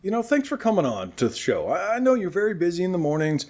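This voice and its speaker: A man playing an affable, earnest radio show host in a post-apocalyptic comedy drama, chatty, rambling and gently teasing. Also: high-pitched, huge pitch swings, fast, exaggerated voice. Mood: sincere, grateful.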